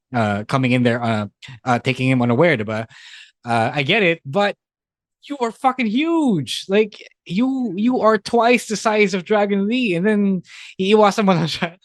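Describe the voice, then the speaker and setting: A man with a Filipino accent co-hosting a podcast, chatting casually in mixed English and Tagalog, animated and laughing often. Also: on the high side, no echo. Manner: animated, laughs often, restarts mid-sentence. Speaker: podcast co-host.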